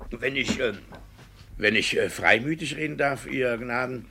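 An actor playing a sly, flustered village judge in a classic radio play, blustering, hesitant and evasive. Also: male voice, mid-range voice, a little slow, gravelly, very noisy. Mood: slippery.

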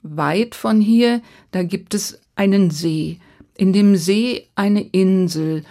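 A woman's voice: mid-range voice, slow, warm tone. A woman voicing a young male prince who sounds earnest and helpless, his voice raised and pleading.

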